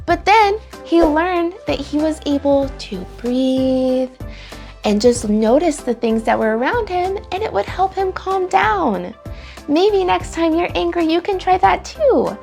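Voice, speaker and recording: A woman reading a picture book aloud for children, with animated, dramatic delivery and drawn-out emphasis on key words. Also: very high voice, vocal fry, faint music behind. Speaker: children's storytime reader and host. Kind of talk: expressive picture-book read-aloud for kids.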